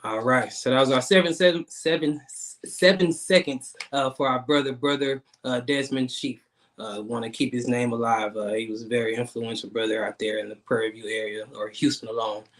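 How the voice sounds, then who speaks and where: A man, hosting an online talk show in a casual, halting way with many repeated words and fillers. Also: medium pitch, fairly even intonation, fairly bright tone. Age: 30s. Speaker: guest host.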